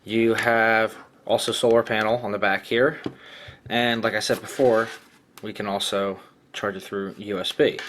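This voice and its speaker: A man's voice: lowish voice, a bit bright. A man giving a casual, hands-on product demonstration, explaining things in a plainspoken way. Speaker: product reviewer.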